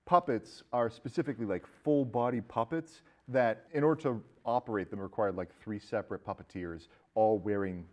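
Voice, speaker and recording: A man's voice: lowish voice, normal speed, few pauses. A man hosting a casual film discussion podcast, talking through his theories with frequent hedging asides. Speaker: podcast host.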